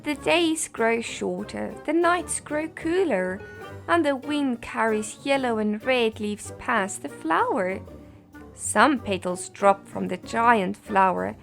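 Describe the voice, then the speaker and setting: A woman reading a bedtime story aloud for children in an expressive, deliberate storytelling manner. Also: a little high, creaky, faint music behind.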